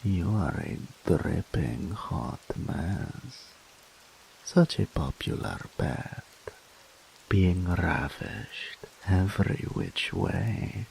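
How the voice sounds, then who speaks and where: A man voicing a dominant, possessive male incubus demon in an audio roleplay, talking in a slow, purring way that often drops to a whisper. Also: low-pitched, big pitch swings, creaky, darkish tone, slight background noise, full character voice, low-quality recording. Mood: teasing.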